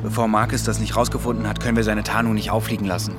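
An actor playing a brisk, casual detective who drops English slang, in a radio crime drama. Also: male voice, fairly low pitch, level tone, lightly acted. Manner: casual, peppered with English phrases. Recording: loud music behind the voice.

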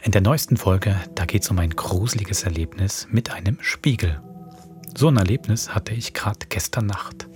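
A man, the producer and host of a horror audio series, telling a spooky scripted introduction in a hushed way. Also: fairly low pitch, moderate pace, faint music behind.

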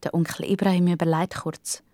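A woman with a Swiss German accent narrating a children's story in simple, deliberate phrases. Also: fairly low pitch, level tone, mellow, no room sound.

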